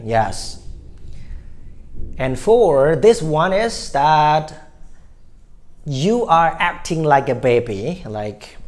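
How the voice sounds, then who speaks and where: Cambodian-accented male English teacher; slow, unhurried delivery, mid-pitched, repeats each phrase and checks in with "all right?". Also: a little mellow. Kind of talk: casual spoken lesson.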